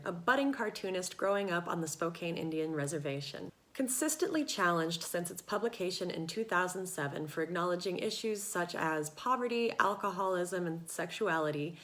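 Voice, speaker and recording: Bright, forceful woman presenter. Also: lowish voice. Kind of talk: scripted announcement, read aloud.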